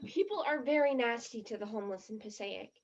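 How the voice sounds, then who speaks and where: A woman's voice: a little high, expressive, a little fast. An actress in a stage play as a blunt, skeptical, dramatic teenage girl, in a slightly muffled recording. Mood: doubtful.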